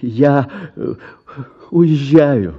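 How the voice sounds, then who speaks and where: An actor playing a flustered, evasive young bachelor in a theatrical radio play, stammering and hesitant. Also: male voice, medium pitch, lively intonation, extremely slow, dark tone, breathy edge, muffled recording, heavily acted. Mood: awkward, evasive. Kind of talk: theatrical radio drama acting.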